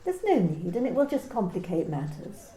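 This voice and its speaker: A woman's voice: mid-range voice, very animated, moderate pace, dark tone, echoey room, lightly acted. A woman playing a cool, condescending company manager in an amateur radio play reading. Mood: cold. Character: cold corporate woman manager, clipped and controlling.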